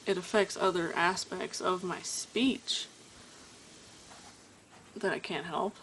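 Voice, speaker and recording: Tired woman, lower voice, talking casually to camera at home with frequent stutters and mid-sentence stops. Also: steady tone, slow, fairly bright tone, a little hiss or hum. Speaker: vlogger. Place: at home indoors.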